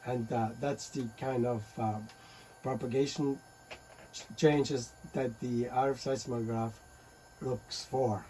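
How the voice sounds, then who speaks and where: A man presenting a technical talk on radio and earthquakes, pausing often with fillers and restarts. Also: medium pitch, fairly even intonation, warm tone, a little hiss or hum, low-quality recording. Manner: halting, many fillers and restarts.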